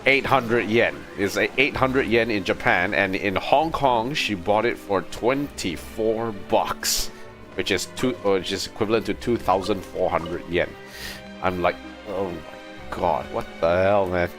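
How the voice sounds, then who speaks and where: A man chatting casually as a video game streamer, telling things in an animated, exasperated way. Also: on the low side, unhurried. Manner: animated storytelling with exasperated asides. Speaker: livestreamer playing a video game. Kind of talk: casual rambling livestream chat. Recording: music behind the voice. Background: game music.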